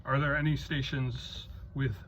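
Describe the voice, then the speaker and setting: A halting, courteous man speaking as the controller of an amateur radio net, in procedural style. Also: medium pitch, flat delivery. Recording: dull lo-fi audio, faint noise.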